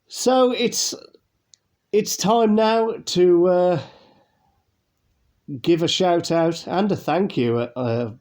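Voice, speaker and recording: A man talks through an unboxing in a loose, rambling way, full of ums and restarts. His voice is mellow and dark, and sounds nasal and blocked from a cold he is getting over. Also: sits high, drawn-out words, pauses often. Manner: chatty, rambling, many fillers and restarts. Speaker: YouTube unboxing host. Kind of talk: casual unboxing commentary.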